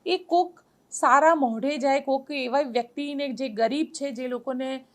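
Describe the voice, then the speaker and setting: A woman with a Gujarati accent presenting an interview topic in a measured, explanatory and emphatic way. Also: sits high. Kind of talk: spoken introduction to an interview.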